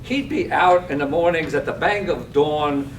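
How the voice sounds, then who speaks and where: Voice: moderate pace, lightly acted. A performer acting a coaxing, easygoing village character in a comic stage reading of a radio play, wheedling with many ohs and hesitations. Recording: echoey, distant microphone, faint rumbling noise.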